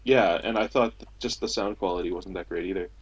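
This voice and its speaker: Young male podcast panelist, low voice, casual discussion, slight background noise and clicks. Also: a little fast. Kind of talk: casual group discussion of shows. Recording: noisy amateur internet recording with clicks.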